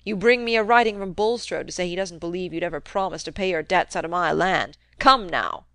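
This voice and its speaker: Crabby old landowner, loud and pushy, dry close sound, a woman reading him. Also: lowish voice, brisk, no room sound. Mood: cantankerous. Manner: gruff, wheedling, loud and emphatic. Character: cantankerous domineering old country man.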